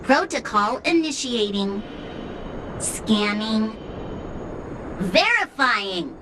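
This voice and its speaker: A woman's voice: on the high side, very animated, very slow, noisy background, exaggerated voice. A woman voicing a formal robot gatekeeper in a video game, making clipped procedural announcements. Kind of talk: video game cutscene acting.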